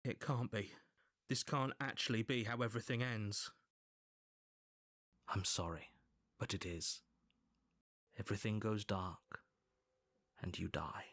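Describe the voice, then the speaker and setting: An actor voicing a stern, calm male narrator who guides the hero through a dark tale. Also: fairly low pitch. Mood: ominous. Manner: calm, measured, ominous narration. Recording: game audio with clear music.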